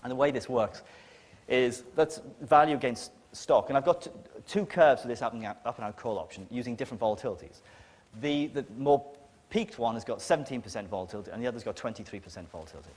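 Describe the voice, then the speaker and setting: A man lecturing on technical material, explaining step by step in a halting way with emphasis on key words. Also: medium pitch, a little mellow.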